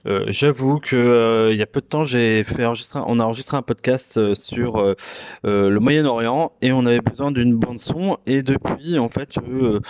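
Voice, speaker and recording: A man hosting a casual solo podcast, talking in a relaxed, self-mocking way and pausing often with fillers and restarts. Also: medium pitch, clear, resonant tone, no echo. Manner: hesitant, full of filler sounds and restarts. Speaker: podcast host. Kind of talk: casual solo podcast chat about music.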